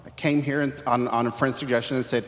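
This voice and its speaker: A man's voice: mid-range voice, flat delivery, fast. A man from Texas sharing his personal testimony earnestly on a church panel. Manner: earnest, conversational. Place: church sanctuary. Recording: long hall reverb.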